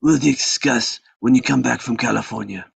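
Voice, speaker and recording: Man acting a gravelly, stern old mafia don. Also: medium pitch, moderate pace, dry recording, heavily acted. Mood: businesslike. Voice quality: gravelly, husky. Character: stern, commanding old male mafia patriarch. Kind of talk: live table read of a film script.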